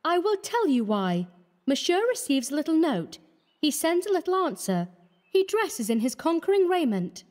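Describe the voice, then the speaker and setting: A man reading an audiobook, voicing an earnest, pleading young woman of the artists' quarter in a soft, reproachful manner. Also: big pitch swings, moderate pace. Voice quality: high and fluttering.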